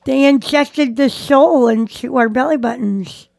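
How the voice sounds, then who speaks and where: A woman's voice: high-pitched, a little slow, vocal fry, a little mellow, no room sound. A woman co-hosting a casual podcast with short, joking remarks. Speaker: podcast co-host. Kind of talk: casual chat.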